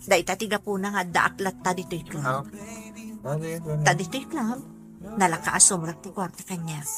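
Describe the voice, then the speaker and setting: A woman's voice: on the low side, normal speed, close to an everyday voice. A woman acting a self-respecting young club worker in a radio drama, with an Ilocano accent. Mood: surprised, earnest. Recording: radio drama with music underneath.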